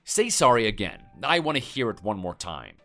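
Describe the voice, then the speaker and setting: A stern, menacing husband, played by a man in a skit, lecturing and threatening his neighbor. His voice swings widely in pitch as he scolds. Faint music plays under him. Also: big pitch swings, normal speed, fairly bright tone.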